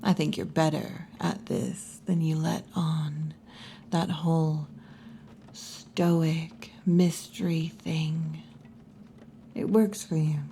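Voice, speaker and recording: A wry, muscular tomboy wolf girl bartender, played by a woman in an ASMR roleplay. She teases in a low, mellow, breathy near-whisper and takes her time, with very long, slow phrasing. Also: little pitch movement, a little hiss or hum, full character voice. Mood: wry, perceptive. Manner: slow, unhurried, wry and teasing.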